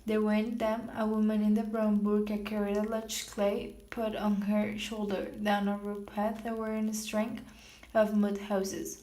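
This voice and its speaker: A woman's voice: mid-range voice, completely flat delivery, warm tone, echoey room, poor audio. A woman reading a novel passage aloud for a class task, often stopping to restart and correct words. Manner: halting, self-correcting reading. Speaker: student reader.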